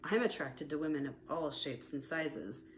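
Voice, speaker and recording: Woman reading as candid married man, lowish voice. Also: moderate pace, a little hiss or hum, lightly acted. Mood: flirtatious. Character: confident, candid married man on a first date.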